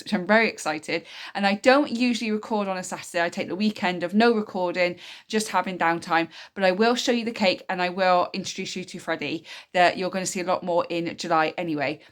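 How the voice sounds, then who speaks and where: A woman's voice: medium pitch, fairly bright tone. A woman, chatting casually in a weekly vlog, a bit nasal and bunged up from a cold. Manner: chatty, rambling, self-explaining. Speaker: vlogger, single mum.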